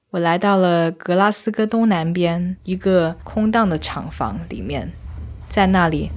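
A woman podcast host narrating a scripted first-person audio diary in reflective, literary phrasing. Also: fairly low pitch.

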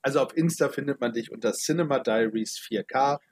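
A man's voice: mid-range voice, a little fast, dry recording. A man hosting a podcast in casual chat, chatty and full of fillers. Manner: chatty, many fillers, talks of his age. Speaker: podcast host.

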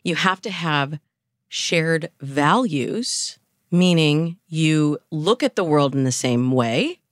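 Low-voiced woman giving expert advice. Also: expressive, takes it slowly, no room sound, clean studio sound. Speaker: dating coach, interview guest.

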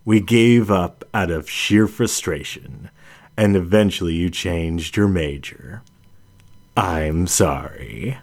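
A man reads the part of a grown lioness witch from a storybook, calm and kind. The voice is low and very unhurried, with gentle, solemn earnestness in the phrasing. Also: gravelly, heavily acted. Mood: apologetic, gentle. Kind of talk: storybook character reading.

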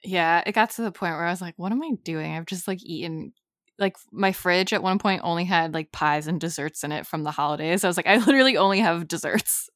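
A woman's voice: on the low side, brisk, fairly bright tone, no echo, studio quality. A young woman co-hosting a podcast in casual, upbeat chat. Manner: chatty, upbeat, laughs easily. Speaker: podcast co-host.

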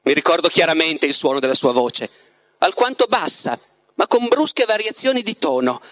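Male reader as tormented romantic writer in a clinic, confiding and dramatic, higher-set voice, dry recording. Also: lively intonation, normal speed, fairly bright tone, dry recording. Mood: wistful, intense. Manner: confiding, dramatic, addresses the listener with rhetorical questions. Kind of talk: dramatic literary reading.

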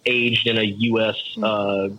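A man's voice: lowish voice, flat delivery, measured pace, pushed and bright, a little hiss or hum, low-quality recording. A man joining a podcast as a guest, explaining whiskey history with many hesitations. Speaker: guest, club president. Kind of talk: casual chat.

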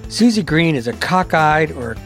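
Animated narrator, playful scripted read, faint music. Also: mid-range voice, moderate pace. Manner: playful, emphatic delivery. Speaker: documentary narrator.

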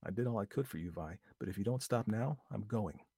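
A man's voice: on the low side, lively intonation, brisk, gravelly, mellow, husky, no room sound, studio quality, heavily acted. A man reading aloud voices a bossy, scornful young woman who snaps and talks vulgar when angry.

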